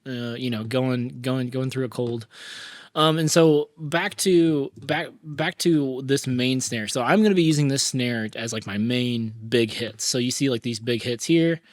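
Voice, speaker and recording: A man hosting a casual music-mixing tutorial, hoarse from a cold, talking in a chatty way with lots of fillers and restarts. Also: mid-range voice, a little slow, no echo, clean studio sound. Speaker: mixing engineer tutorial host. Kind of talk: casual screen-recorded tutorial.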